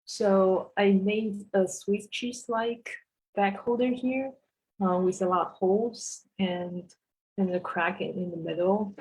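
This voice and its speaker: A woman's voice: mid-range voice, fairly even intonation, slow pace, darkish tone, slightly rough, low-quality recording. A woman from Taiwan presenting her sculpture work on a video call, talking hesitantly with many fillers. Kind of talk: casual presentation.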